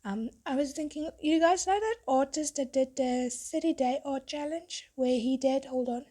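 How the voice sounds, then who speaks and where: A young woman, a tattoo artist, chatting in a rambling way while she draws, her voice hoarse from being sick. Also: high-pitched, moderate pace, warm tone. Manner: rambling, chatty, self-interrupting. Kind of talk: casual chat while drawing.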